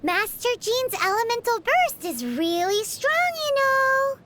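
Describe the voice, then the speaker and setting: A woman's voice: extremely high pitch, sing-song, slow pace, pushed and bright, exaggerated voice. A woman voicing a tiny floating female fairy companion who chats cheekily and talks about herself in the third person. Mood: cheeky. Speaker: sidekick companion. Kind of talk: video game character banter.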